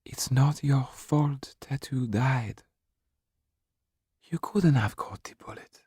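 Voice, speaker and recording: A man voicing a kind but firm married gentlewoman in a storybook, with an earnest delivery. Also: medium pitch, takes it slowly, no echo, clean studio sound, pitched above the performer's own voice. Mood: tender. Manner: earnest, sometimes sharp, sometimes softly breathy.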